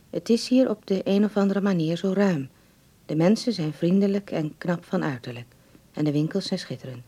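A woman reading a letter in a radio play. Her voice is low and mellow, in a storytelling narration style. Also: slightly rough.

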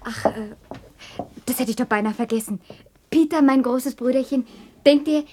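An actress playing an affectionate young working daughter in a radio play, eager, tender and earnest. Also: female voice, high voice, normal speed, a little mellow, a little hiss or hum, lightly acted. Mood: cheerful. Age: young adult. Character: affectionate young working daughter, warm-hearted big sister. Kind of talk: 1960s German radio play acting.

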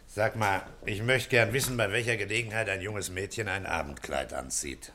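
Deep-voiced, calm police commissioner. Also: male voice, normal speed, a little hiss or hum. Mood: casual, domestic. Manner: terse, matter-of-fact questioning. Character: male police commissioner, calm, probing, quietly authoritative. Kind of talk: German crime radio play acting.

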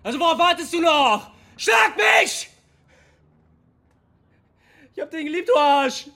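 A man's voice: extremely high pitch, expressive, takes it slowly, vocal fry, pushed and bright, raised voice, full character voice. An actor playing a lovesick, hot-headed young man with a raw, emotional, colloquial delivery in a radio drama. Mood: desperate fury. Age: young adult. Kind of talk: German radio drama acting.

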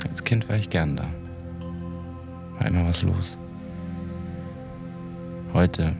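A low, creaky-voiced man as a disillusioned small-town mechanic who has done time. He talks colloquially and haltingly, as if mulling it over, in a radio play with music underneath. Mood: wistful, resigned. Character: disillusioned, slangy small-town car mechanic and ex-convict narrator. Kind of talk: first-person radio drama monologue.

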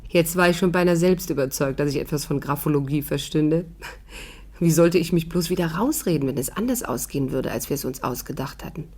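An actress playing a clever, courteous amateur sleuth who also narrates a 1950s radio play, earnest and articulate. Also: female voice, deep voice, fairly quick, darkish tone, slightly rough. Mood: reflective narration. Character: clever, polite amateur sleuth bluffing as secretary. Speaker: heroine and narrator.

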